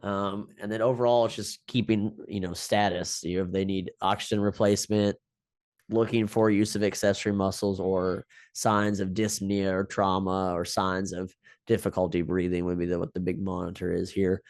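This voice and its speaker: A man's voice: low voice, little pitch movement, normal speed, a little mellow, dry recording. A man teaching a class, talking it through loosely with many ums and restarts as he explains step by step. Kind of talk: unscripted lecture.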